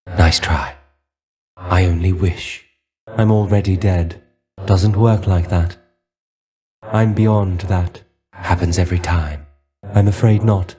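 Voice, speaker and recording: Dead ancient Greek warrior, video game character, deep mellow voice, unhurried, world-weary, brooding, aloof, old-fashioned formal wording. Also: male voice. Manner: resigned, aloof, formal archaic phrasing.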